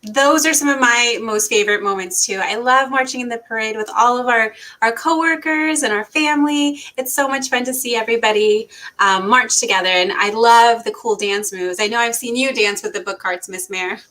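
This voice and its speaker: Woman, high and bright voice, librarian sidekick for kids' read-aloud, keen and agreeable in conversation. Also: normal speed, few pauses. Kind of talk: children's storytime chat.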